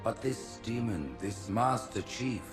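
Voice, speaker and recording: An actor playing a stately alien religious leader in a game, passing cold judgment in a slow, grandiose manner. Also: male voice, on the low side, lively intonation, music in the background. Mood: cold, deliberate. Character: stately alien high prophet, coldly judging religious leader.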